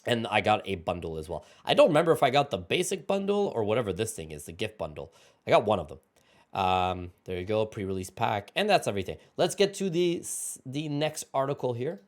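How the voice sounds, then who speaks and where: A man hosting a card game channel, giving casual excited reaction commentary as he reads card text aloud, his voice going hoarse and his throat often clearing. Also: fairly low pitch, a little fast. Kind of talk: casual reaction commentary while scrolling an article.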